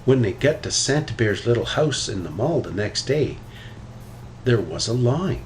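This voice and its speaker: A man reading a children's story aloud with expressive, animated delivery. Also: medium pitch, measured pace, slight background noise. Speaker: storybook reader.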